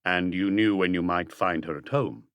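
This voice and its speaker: A man's voice: sits low, moderate pace, fairly bright tone, smooth, no echo. A man voicing a probing, persistent police detective who presses firmly with pointed questions in an audiobook mystery.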